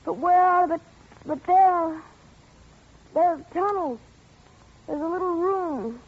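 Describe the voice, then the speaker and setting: A woman's voice: slow pace, exaggerated voice. A woman voicing a frightened, grieving young boy in an old-time radio drama, pleading and accusing. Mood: uneasy. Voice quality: high childlike voice. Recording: dull lo-fi radio recording.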